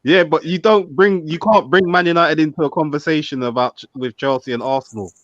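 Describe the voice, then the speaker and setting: A man's voice: fairly high pitch, fairly quick, a little hiss or hum. A man teasing and arguing in casual football banter. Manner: teasing, argumentative. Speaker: panelist. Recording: dull lo-fi audio.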